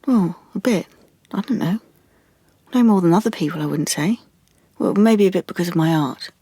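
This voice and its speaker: An actress playing an anxious, wounded young woman in an audio drama, confiding haltingly and stumbling over her words. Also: lowish voice, expressive, dark tone, husky, dry recording. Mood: defensive. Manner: halting, confiding, with stammered restarts. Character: anxious, wounded young woman artist, defensive about privacy. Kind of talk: audio drama monologue and dialogue.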